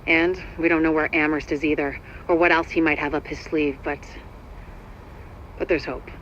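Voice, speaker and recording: An actor playing an earnest, determined ally briefing an agent in a video game, measured, sincere and lightly wry. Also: male voice, a little high, little pitch movement, fairly bright tone, dull audio, a little hiss or hum, close to an everyday voice. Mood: reflective, hopeful. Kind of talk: video game cutscene monologue.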